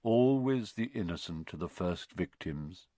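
Guy doing a deep mournful centaur from a storybook, slow n cryptic, kinda sighs his words, dry sound. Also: sing-song, fairly bright tone, no room sound, full character voice. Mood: brooding, solemn. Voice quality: deep, sorrowful. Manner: slow, sighing, portentous. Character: sorrowful, cryptic male centaur, solemn and slow.

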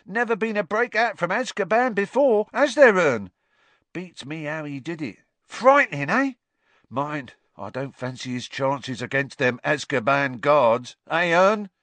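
A man voicing an excitable young male bus conductor who gossips and keeps seeking agreement. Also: on the high side, measured pace, no echo, voice pushed up. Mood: excited gossip.

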